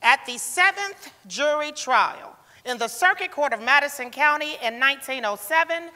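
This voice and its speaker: Lady doing formal stage narrator, forceful bright voice, echoing theatre. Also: high voice, animated, moderate pace. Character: formal female narrator of a court history. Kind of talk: scripted narration, dramatic stage reading. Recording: reverberant theatre hall.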